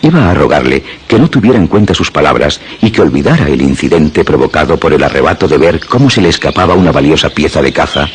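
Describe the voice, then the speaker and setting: A man narrating a scripted radio drama in a literary, dramatic way with measured phrasing. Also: extremely low pitch, animated, slightly rough. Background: music.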